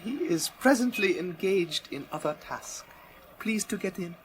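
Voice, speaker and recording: Stilted, courteous alien detective. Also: male voice, high voice, expressive, unhurried, slight background noise. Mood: solemn, courteous. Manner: formal, stilted, polite broken English. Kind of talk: radio play acting. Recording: music under the voice.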